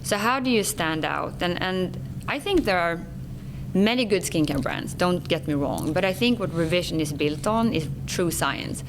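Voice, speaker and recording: A woman's voice: lowish voice, expressive, moderate pace, noisy background. A middle-aged woman from Sweden with a Swedish accent, a company executive explaining her work with earnest passion in a podcast interview.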